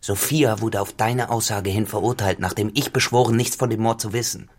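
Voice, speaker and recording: Low, husky, brooding man. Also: fairly even intonation, brisk. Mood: brooding, confessional. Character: cynical, dissolute farmhand turned tenant, brooding and insistent. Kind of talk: literary German radio drama acting.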